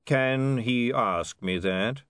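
A man's voice: mid-range voice, drawn-out words, a bit bright, smooth, dry recording, clean studio sound, voice pushed up. A man voicing a pompous older lord in an audiobook, baffled, testy and easily led, with a blustering, dithering manner.

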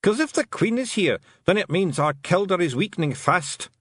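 A tiny blue Scottish clan leader from a storybook, speaks in a raised, very animated voice. He is exclamatory and deferential, forever anxious beneath the bluster, in a close, dry recording. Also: high voice, normal speed, husky, dry recording, pitched above the performer's own voice, exaggerated voice. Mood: wailing dread. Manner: exclamatory, pleading, dialect-heavy.

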